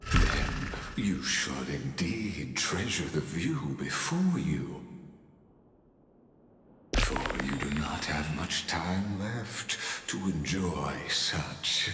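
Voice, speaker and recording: An actor playing a suave, sinister mastermind in a video game, speaking with formal, theatrical courtesy. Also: male voice, deep voice, very noisy, exaggerated voice. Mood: ominous courtesy. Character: suave, sinister male mastermind observing a cosmic experiment. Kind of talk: dramatic video game voice acting. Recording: echoey hall reverb under music.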